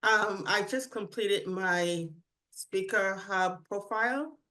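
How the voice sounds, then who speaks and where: Bright-voiced hesitant woman. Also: medium pitch, slow pace, poor audio. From New England, USA. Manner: hesitant, many fillers and restarts. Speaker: speaker, new member. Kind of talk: introducing herself on a call.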